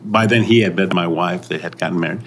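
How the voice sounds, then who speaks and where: An older man who grew up in Mexico, telling his story in a relaxed interview, halting and often trailing off. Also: low voice, heavy background noise. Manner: halting, many pauses and restarts, soft trailing phrases. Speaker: restaurant owner. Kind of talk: casual interview.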